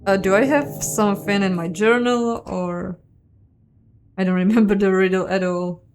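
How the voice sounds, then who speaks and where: A woman's voice: mid-range voice, fairly even intonation, normal speed. A woman giving casual playthrough commentary on a game, musing aloud and questioning herself. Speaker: game streamer and narrator.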